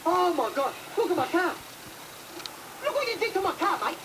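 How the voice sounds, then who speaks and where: A brash, mocking bloke trades insults in a roadside car row, all cheek and sarcasm. His voice is quick and lively. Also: extremely high pitch, recorded from a distance, background noise. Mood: indignant. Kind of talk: film comedy dialogue.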